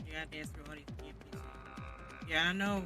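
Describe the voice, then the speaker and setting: A man's voice: a little high, steady tone, brisk, loud music behind the voice. A man giving casual playthrough commentary as a game streamer.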